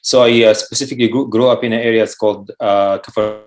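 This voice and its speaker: A man in his late thirties, originally from Syria, with a fairly low voice. He answers slowly and hesitantly, filling the gaps with "um" and "uh.". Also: steady tone, a little mellow. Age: 30s. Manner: hesitant, many fillers. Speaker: chemist guest. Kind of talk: interview answers.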